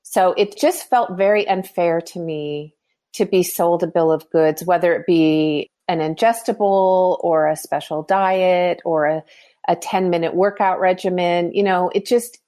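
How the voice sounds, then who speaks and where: A woman's voice: on the low side, a little slow, mellow. A woman in her fifties, a skincare company founder, talking earnestly in a podcast interview and explaining her views with frequent restarts. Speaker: skincare brand founder, guest.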